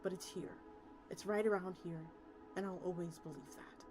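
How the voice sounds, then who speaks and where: A woman voicing an earnest, stubborn, weary country man in a story, with a halting, folksy manner. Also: lowish voice, fairly even intonation, close to an everyday voice. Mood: resigned, earnest. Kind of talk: character reading of a story. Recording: loud music behind the voice.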